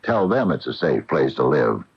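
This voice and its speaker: A man's voice: very deep voice, sing-song, moderate pace, husky, heavily acted. An actor playing an indignant, stubborn small-town man in a radio mystery drama, speaking emphatically with folksy phrasing. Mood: bitter, sarcastic. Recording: muffled, noisy 1980 AM radio broadcast.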